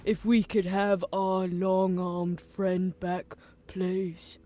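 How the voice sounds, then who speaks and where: A woman's voice: lowish voice, level tone, very slow, dark tone. A woman voicing a meek, polite petitioner with a courteous, deferential manner. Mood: pleading.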